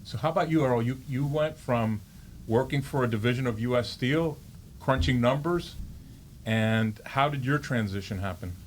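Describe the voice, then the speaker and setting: A man's voice: mid-range voice, moderate pace, slight background noise. Guy hosting a business interview, friendly, cracks jokes. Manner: friendly, joking. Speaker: host.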